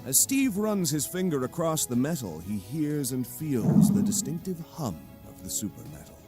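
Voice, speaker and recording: A man delivers dramatic scripted narration. His mid-pitched voice rises and falls expressively in a theatrical way, with a cinematic score clearly behind it. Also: very animated, normal speed. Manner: theatrical storytelling. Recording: cinematic music bed behind.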